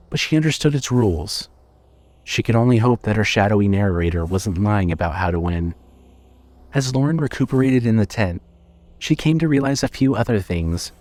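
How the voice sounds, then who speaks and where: A man narrating scripted horror fiction in a measured, dramatic storytelling manner. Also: lowish voice, a little mellow, dry recording.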